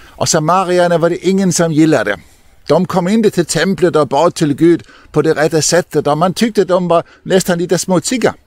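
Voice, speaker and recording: An older man, a deacon, telling a Bible story to children outdoors in an expressive, lively way. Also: fairly high pitch, no echo. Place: outdoors in an open field.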